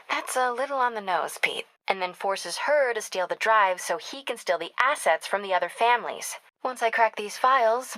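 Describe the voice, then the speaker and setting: Young American investigative reporter, video game: mid-pitched, crisp bright edge, sharp and determined, wry natural phone delivery. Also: female voice, no echo. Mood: annoyed, wary, then businesslike. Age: young adult. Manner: wry, brisk, conversational.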